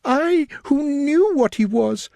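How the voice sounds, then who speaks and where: A man reading aloud, voicing a distraught young gentlewoman with an urgent, pleading, high and breaking voice. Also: very animated, unhurried, dry recording, studio quality, heavily acted. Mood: anguished.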